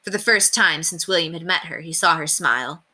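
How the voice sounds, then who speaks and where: A woman reading a children's story aloud as an expressive storyteller. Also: low-pitched, fairly quick.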